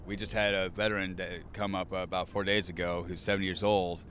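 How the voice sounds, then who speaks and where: A man's voice: sits low, flat delivery, fairly quick, fairly bright tone, a little hiss or hum. A man, a camp security co-commander, giving matter-of-fact interview testimony outdoors.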